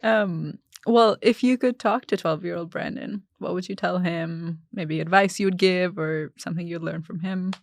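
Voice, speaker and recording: Upbeat gravelly woman host, quick talk. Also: lowish voice, steady tone, dry recording, studio quality. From Pakistan. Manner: upbeat, quick, laughs often. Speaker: podcast host. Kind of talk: casual interview chat.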